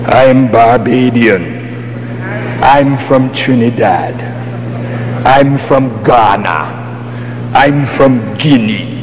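A man preaching a fiery sermon, scolding his listeners and rising into shouts, with call and response. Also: medium pitch, takes it slowly, pauses often, a crowd in the background. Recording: long hall reverb, steady hum.

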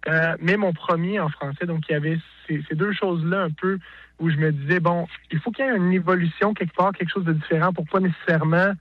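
A Québécois man speaks as an interview guest, his slightly high voice stumbling through restarts and fillers. The line is dry and dull, with the top end muffled. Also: fairly even intonation, fast talker, dry recording. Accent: Québécois French. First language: French. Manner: hesitant, many restarts and fillers. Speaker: singer-songwriter guest. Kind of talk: casual interview.

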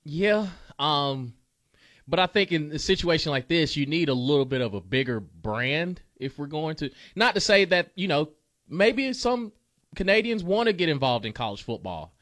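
A man co-hosting a casual sports talk show, lively with quick reactions. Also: fairly high pitch, dry recording, studio quality.

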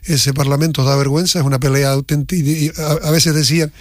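A man's voice: fairly high pitch, flat delivery, fast, no room sound, clean studio sound. A man making emphatic, critical points as a panelist in a televised political debate.

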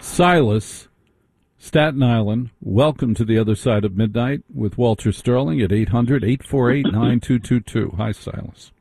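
Male talk radio host, chatty and interrupting; mellow tone, dry studio sound. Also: mid-range voice, moderate pace, vocal fry, no echo.

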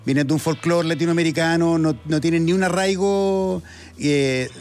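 A Chilean man co-hosting a music show, explaining his opinions casually and in a halting way. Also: on the high side, steady tone, darkish tone, slight background noise. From Viña del Mar, Chile. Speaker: co-host and music commentator.

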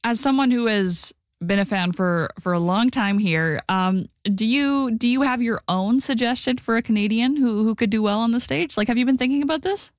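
A woman's voice: a little high, normal speed, hardly any pauses, no room sound, clean studio sound. A woman hosting an interview, asking her guest curious, friendly questions in a casual way. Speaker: interviewer, host.

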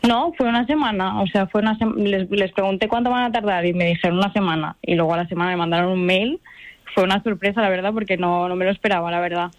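A woman's voice: mid-range voice, steady tone, fast talker, no room sound. Hesitant young woman on a muffled radio line. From Spain. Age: 20s. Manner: chatty, hesitant, many fillers and restarts. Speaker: guest artist. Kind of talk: radio interview answers.

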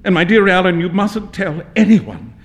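A man voicing a naive, easily embarrassed young gentleman in a story reading, with a sulky, flustered manner. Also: sits high, normal speed, lightly acted. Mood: embarrassed gloom. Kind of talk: dramatic literary story reading.

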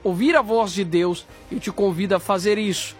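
A Brazilian man preaching an exhortation as a religious host, in solemn, deliberate phrases. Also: high voice. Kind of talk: sermon, exhortation. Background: background music.

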